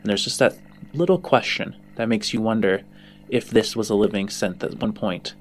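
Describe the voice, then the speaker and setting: A man working as game master, improvising narration in a halting way as he searches for words. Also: lowish voice, slight background noise.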